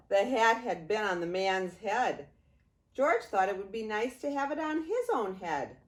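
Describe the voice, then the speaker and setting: An older woman, a grandmother, reading a children's story aloud in an expressive way, drawing out words and pausing. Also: medium pitch. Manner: expressive, with drawn-out words and pauses.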